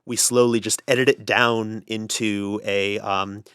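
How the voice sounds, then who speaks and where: Clear-voiced man, halting explainer. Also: fairly low pitch, flat delivery, a bit bright, no echo, studio quality. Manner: halting, pausing often mid-sentence. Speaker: audio drama producer and editor being interviewed. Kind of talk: casual explanatory interview talk.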